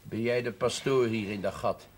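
A man playing a gruff, drunken socialist father, coarse, scornful and blustering, in a television drama. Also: on the low side, fairly even intonation, moderate pace, close to an everyday voice. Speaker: actor in a television drama series. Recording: noisy old television soundtrack.

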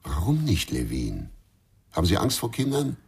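An actor playing a troubled, stubborn investigator in a radio crime drama, terse and brooding, over background noise. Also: male voice, low voice, huge pitch swings, normal speed, gravelly, a little mellow, breathy edge, dry recording, heavily acted. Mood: challenging.

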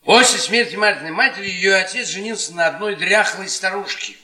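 An actor playing a sardonic, beaten-down middle-aged history professor in a radio play, needling with mocking, hesitant phrasing. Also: male voice, high voice, brisk, pushed and bright. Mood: playful, ironic. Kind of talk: radio theatre stage acting. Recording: echoey room, audience noise behind.

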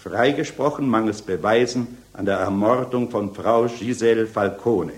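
An actor playing a solemn presiding judge in a 1960s radio drama, making formal, measured courtroom pronouncements with authority. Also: male voice, on the low side, natural voice. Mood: solemn, official.

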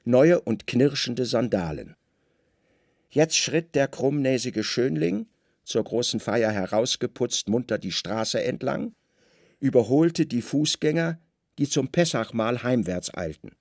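A man narrating a literary radio play with dramatic, deliberate storytelling in short phrases. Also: mid-range voice, expressive, darkish tone, dry recording, clean studio sound.

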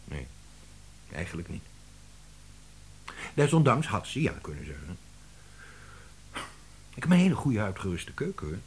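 An actor playing a dry, rueful middle-aged publishing director in a Dutch radio play, deliberate and slightly pompous. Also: male voice, fairly low pitch, huge pitch swings, husky, heavily acted. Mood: grumbling. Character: dry, rueful older publishing director, mock-heroic grumbler.

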